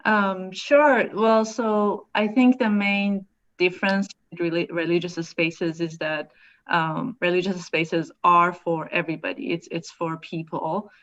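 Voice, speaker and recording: A woman's voice: on the low side, fairly even intonation, measured pace, a bit bright, dull audio. A woman from Iran, an architect speaking thoughtfully on an online panel, with some fillers.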